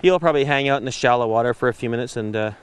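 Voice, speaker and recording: A middle-aged man hosting a fishing show on location, wading in a river and exclaiming excitedly over his catch. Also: mid-range voice, racing, a little hiss or hum. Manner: excited, exclaiming, laughing often. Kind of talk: on-location casual chat.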